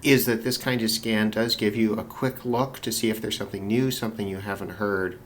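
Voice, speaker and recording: A man's voice: on the low side, fairly even intonation, brisk. A man giving casual, unscripted commentary as a radio hobbyist, thinking aloud in a tentative way. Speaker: shortwave radio hobbyist narrating a video. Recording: loud shortwave radio static and noise. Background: shortwave radio reception.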